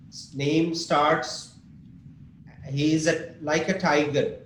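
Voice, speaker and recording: A man's voice: on the high side, steady tone, takes it slowly, fairly bright tone. A man teaching an online English lesson, explaining patiently with examples and questions for his students. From Pakistan. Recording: echoey room with faint noise.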